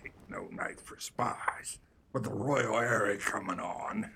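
An actor playing a blustering, menacing pirate captain with a raspy growl and stage pirate dialect in a comic radio play. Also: male voice, on the low side, takes it slowly, bright, forceful tone, a little hiss or hum, heavily acted. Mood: sly, menacing.

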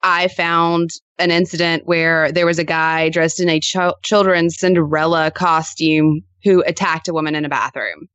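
A woman chatting casually as a podcast guest, telling animated anecdotes and acting out reactions in quotes. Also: sits low, moderate pace, few pauses, clear, resonant tone, no echo, lo-fi sound. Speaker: podcast co-host appearing as guest. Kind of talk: casual interview chat.

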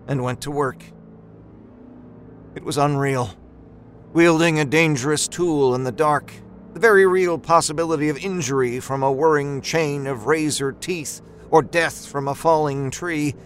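A man reading a first-person horror story as a storyteller, in a measured, expressive and dramatic way. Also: on the high side. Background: musical score.

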